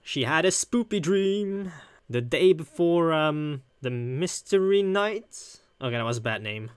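A man giving casual, playful gameplay commentary as a let's player, with halting reactions. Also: on the high side, expressive, clear, resonant tone, no room sound. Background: music.

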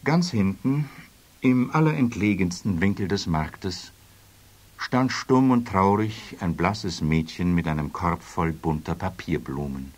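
A man narrating a children's story in an expressive, scripted storytelling manner. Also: deep voice, unhurried.

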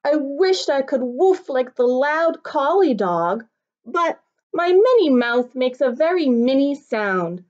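A woman's voice: high-pitched, very animated, slow, a little mellow, pitched above the performer's own voice, full character voice. A woman voicing a tiny three-pound male dog who sadly wishes he could do what bigger animals do, in a plaintive sing-song storybook way. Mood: wistful. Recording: dull lo-fi recording.

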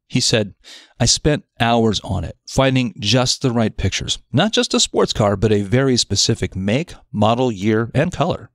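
Man, mid-pitched voice, animated melody, scripted explanatory narration, dry studio-like sound. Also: no room sound. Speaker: narrator of a self-development article. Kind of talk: scripted narration of an audio article.

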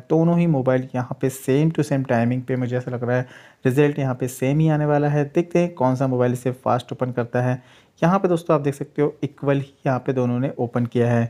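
A man's voice: mid-range voice, a little mellow. A man, the host of a tech channel, steadily narrating a side-by-side phone speed test and addressing viewers as friends.

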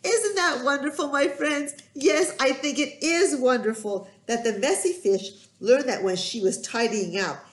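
A middle-aged woman telling a children's story in an expressive, emphatic, sing-song way, recorded in a room at home. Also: sits high, a little slow, hardly any pauses. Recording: roomy, echoey home recording.

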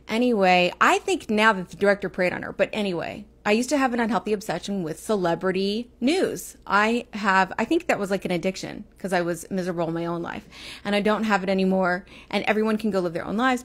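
A woman in her forties with a Texas accent chats loosely on her livestream. Her vowels sometimes slide toward the Midwest, and she wanders between topics with quick asides to viewers. She talks into an earphone headset mic in a small room. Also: mid-range voice. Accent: Texas, with Midwestern turns. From Texas, United States. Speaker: livestream host. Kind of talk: casual livestream chat. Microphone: earphone headset mic, phone camera close.

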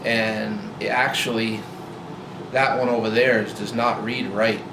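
A man in his forties talking casually to camera about his old truck, relaxed and rambling with lots of fillers and restarts, filmed in a garage. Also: lowish voice, unhurried. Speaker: vlogger. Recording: roomy garage with loud hum.

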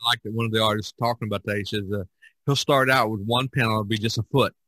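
A man's voice: on the low side, fairly even intonation, quick pace, pushed and bright, dry recording, rough recording. A man hosting a casual podcast discussion, rambling along, often repeating himself and trailing off. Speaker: podcast host.

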